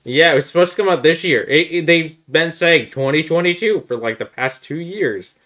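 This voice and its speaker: A man's voice: on the high side, normal speed, a bit bright. A man hosting a casual podcast chat about video games, making his points in an informal, persuasive way. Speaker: podcast host.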